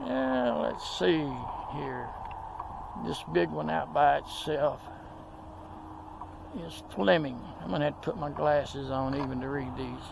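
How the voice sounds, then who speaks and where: Texan male host, gravelly and slightly high, folksy tour talk, wind and traffic noise behind. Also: steady tone, slow. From Texas. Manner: folksy, easygoing, with frequent fillers. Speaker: video host. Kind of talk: casual walking tour narration. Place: outdoors at a rural roadside cemetery, windy. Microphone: camera on a handheld tripod.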